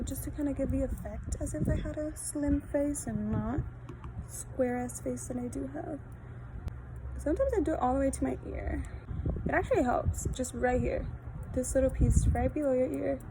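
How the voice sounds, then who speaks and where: A young adult woman whose first language is Spanish, chatting casually and rambling with lots of fillers while she does a makeup tutorial outdoors in a backyard. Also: high voice. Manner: casual, rambling, self-deprecating, frequent fillers. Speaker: beauty vlogger. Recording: outdoor wind and ambient noise.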